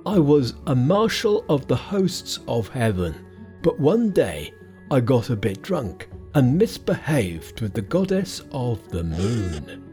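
A man voicing a grotesque pig-spirit monster that boasts gruffly and later pleads. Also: medium pitch, sing-song, slow, dark tone, soft background music. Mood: confessional. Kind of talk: audiobook character voice.

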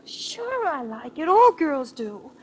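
A woman's voice: high voice, very animated, slow pace, full character voice. A woman playing a guarded, despairing fourteen-year-old orphan girl in a 1940s radio drama, sullen and plaintive. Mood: upset, despairing.

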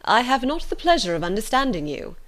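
A woman voicing a dry, unruffled older gentleman father in an audiobook, measured and mock-solemn. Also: on the high side, very animated, pitched above the performer's own voice. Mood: calm, unconcerned. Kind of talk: audiobook character voice.

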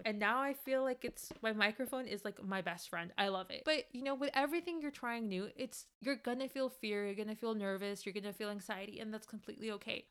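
A woman's voice: medium pitch, fairly bright tone, clear, resonant tone. A young woman, a native Spanish speaker with an accent, hosting her podcast and talking in an earnest, encouraging way with many fillers. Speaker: podcast host. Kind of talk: casual solo podcast talk.

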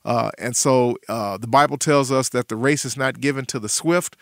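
A middle-aged man, a pastor, hosting an interview in a gentle, pastoral way. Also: medium pitch, normal speed, vocal fry, no echo, studio quality. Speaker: pastor and host.

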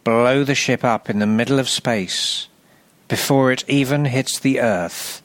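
A man acts out a haughty, tantrum-prone television producer in a comedy sketch. He speaks at middle pitch in emphatic, stretched-out commands, dismissive and imperious, with a close dry sound. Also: a little slow, no room sound, natural voice. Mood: indignant.

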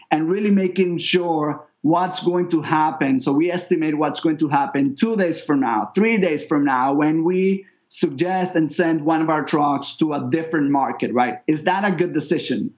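Higher-pitched Venezuelan-accented man, slightly muffled. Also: darkish tone. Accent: Venezuelan Spanish. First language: Spanish. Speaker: company founder, interview guest. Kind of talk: conversational explanation in an interview.

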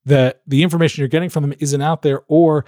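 A man who coaches podcast hosts, giving animated advice in an interview and acting out quoted voices. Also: fairly high pitch, fast, no room sound, studio quality.

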